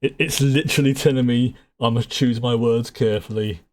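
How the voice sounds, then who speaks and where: A man's voice: medium pitch, steady tone, brisk, darkish tone. A man giving casual commentary while streaming a game live. He laughs often and trails off into restarts. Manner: reads on-screen dialogue aloud.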